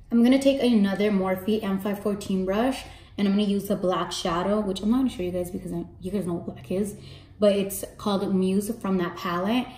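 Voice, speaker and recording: A young woman with a mellow, slightly raspy voice that sits deep for a woman. She chats casually to her viewers in slang, with candid asides, while she does her makeup at home. The room adds a clear echo to her voice. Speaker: makeup artist and beauty vlogger. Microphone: camera in front, face framed close.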